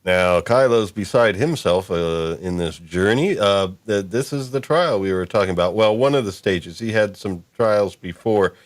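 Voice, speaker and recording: A man's voice: lowish voice, normal speed, hardly any pauses, dry recording. A man hosting a casual podcast chat, with a hesitant, meandering way of phrasing things. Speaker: podcast host.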